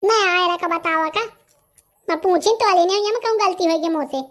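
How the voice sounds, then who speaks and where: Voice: very high voice, normal speed, fairly bright tone. An actor with a Bundelkhandi accent voicing a chatty, stubborn young villager in a comedy cartoon. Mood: chatty, insistent.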